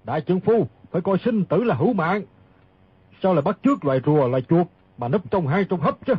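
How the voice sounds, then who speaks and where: Brash, fearless, mocking martial hero for radio drama; very fast, rough husky tone. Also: male voice, high voice, sing-song, dry recording, lo-fi sound, exaggerated voice. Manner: mocking, argumentative. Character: brash argumentative swordsman, sarcastic and fearless. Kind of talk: dramatised audiobook reading.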